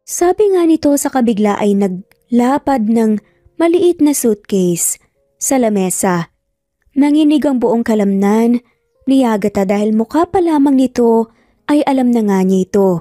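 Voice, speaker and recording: A woman narrates a dramatic story with a mellow, fairly high voice. The delivery is scripted storytelling, laid over background music. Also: expressive, a little slow, pauses often, no echo, clean studio sound.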